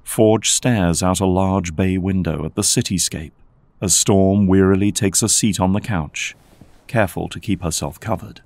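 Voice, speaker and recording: A man's voice: low voice, normal speed, darkish tone, no room sound. A man giving scripted, dramatic narration as the narrator of an audio drama.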